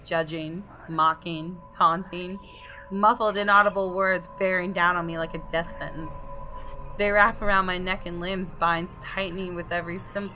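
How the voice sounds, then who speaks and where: Woman, somewhat low pitch, bright tone, dramatic narration reading, casual asides, gameplay video, soft music. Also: steady tone, normal speed, rough recording. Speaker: gaming video creator.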